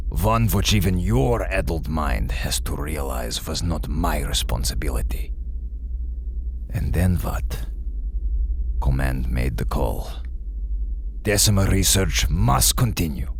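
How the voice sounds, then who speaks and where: An actor playing a cold, unrepentant scientist with a Russian accent and grave, formal, deliberate speech in an audio drama. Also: male voice, deep voice, expressive, gravelly, slight background noise, heavily acted. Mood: grave, insistent.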